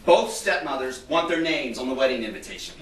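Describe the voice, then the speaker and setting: A man's voice: medium pitch, expressive, fairly quick, recorded from a distance, lightly acted. An actor on stage playing a flustered, exasperated bridegroom, pouring out his family grievances in an agitated theatrical monologue. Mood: exasperated, frantic. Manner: agitated, breathless torrent of grievances, mimicking others. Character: flustered, exasperated bridegroom venting about wedding family squabbles. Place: live stage before an audience. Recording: echoey theatre stage recorded from afar, audience laughter.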